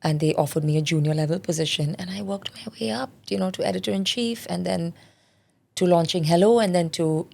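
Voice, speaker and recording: Gravelly, low-voiced woman telling her story. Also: fairly quick, a little mellow. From India. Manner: candid, reflective storytelling. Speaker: guest, parenting specialist. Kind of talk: conversational interview.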